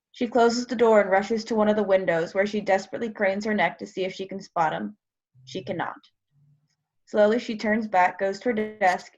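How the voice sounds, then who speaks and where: Creaky-voiced woman reading stage directions. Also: mid-range voice, muffled recording. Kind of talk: scripted narration of a play.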